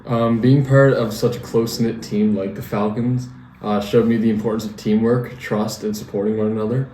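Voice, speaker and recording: A young man playing a lanky 1920 hockey winger, hesitantly reading scripted interview answers in a flat delivery. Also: lowish voice, normal speed, slight background noise, lightly acted. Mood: matter-of-fact. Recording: echoey room, distant mic, faint music.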